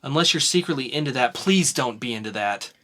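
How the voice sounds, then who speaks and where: A man's voice: medium pitch, lively intonation, fairly quick, bright, forceful tone. A man reading aloud as a woman in labor, putting on a raised, crude and panicky voice for an amateur dramatic reading.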